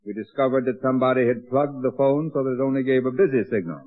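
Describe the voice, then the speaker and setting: A man's voice: medium pitch, quick pace, close to an everyday voice. An actor playing a dry, skeptical middle-aged father in a 1940s radio sitcom. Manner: dry, wry. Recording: tinny band-limited old radio recording.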